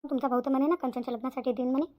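Woman voicing a high, rapid-fire worried village mother. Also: steady tone, darkish tone, lightly acted. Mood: concerned. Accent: Vidarbha Marathi. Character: worried, hard-working village mother, pleading and stubborn. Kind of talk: Marathi cartoon voice acting.

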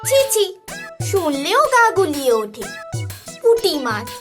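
Squeaky, whining little schoolgirl. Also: sing-song, takes it slowly, over music, heavily acted. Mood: disgusted. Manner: whiny, disgusted exclaiming. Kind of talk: animated cartoon dialogue.

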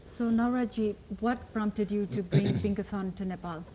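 A woman hosting a studio talk show, briskly putting questions to her guests. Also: medium pitch, dark tone, slight background noise, rough recording. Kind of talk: interviewing guests. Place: TV studio set.

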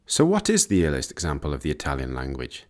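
A man's voice: a bass voice, huge pitch swings, fast. A man reading scripted narration for an educational video about language, as its narrator.

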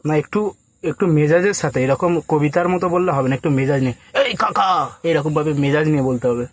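Higher-voiced man coaxing on a prank call. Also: fairly quick, no room sound. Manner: coaxing, instructing. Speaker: prank caller posing as a film casting agent. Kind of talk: prank phone call, casual persuasive talk.

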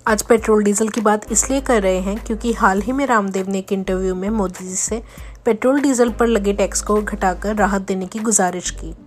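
A woman reading a scripted news voice-over in a steady, formal way. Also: medium pitch, moderate pace, soft background music. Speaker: news narrator.

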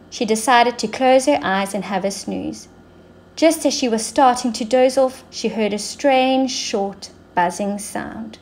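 A woman teacher reading a story to young children in an expressive way, drawing words out for emphasis. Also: a little high.

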